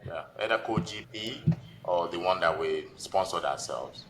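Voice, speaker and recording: A man's voice: low voice, lively intonation, a little slow, background noise. A man in his forties with a Nigerian accent, telling his own story informally as a guest in a conversational interview. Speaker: podcast guest, physician and investor.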